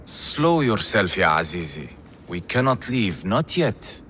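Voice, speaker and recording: A man's voice: low voice, sing-song, takes it slowly, a bit bright, a little hiss or hum, exaggerated voice. A man acting a cocky, grandly eloquent rebel leader in a cinematic game, with a flamboyant, wry delivery. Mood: wry, sly.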